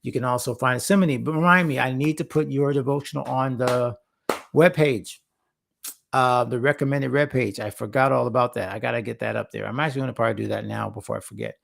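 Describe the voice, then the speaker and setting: A man hosting a casual livestream for faith-focused creatives, speaking earnestly and repeating words for emphasis, his voice slightly hoarse. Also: mid-range voice. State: slightly hoarse after a long session. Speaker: host and founder of a faith-focused creative platform. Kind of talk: casual livestream talk to viewers.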